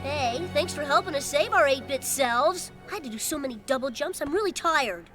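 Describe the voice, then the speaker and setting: A woman's voice: high-pitched, expressive, a little fast, music in the background. A woman playing a cocky, mischievous ten-year-old cartoon boy with a brash, wisecracking way of talking. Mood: friendly. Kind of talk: animated comedy cartoon acting.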